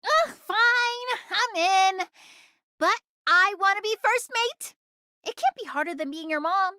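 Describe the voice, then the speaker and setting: A woman's voice: very high voice, expressive, slow pace, bright, forceful tone, dry recording, studio quality, full character voice. A woman voicing a peppy, bossy and eager young female crewmate in an anime game. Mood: peppy, playful.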